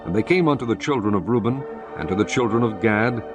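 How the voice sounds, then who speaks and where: A man narrating scripture in a measured, solemn scripted reading. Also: on the low side, lively intonation. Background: music underneath.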